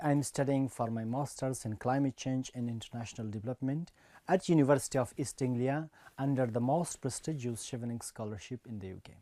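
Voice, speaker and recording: Young man from Afghanistan, measured prepared speech, mellow tone, dry close sound. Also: medium pitch, no room sound. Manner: earnest, measured delivery. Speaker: speech reader.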